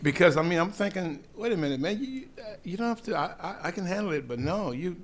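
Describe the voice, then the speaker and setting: A man's voice: high-pitched, expressive, quick pace. A man at a public board meeting giving loose, folksy thanks, with many restarts and repeated words. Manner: warm, rambling, folksy with repeated starts.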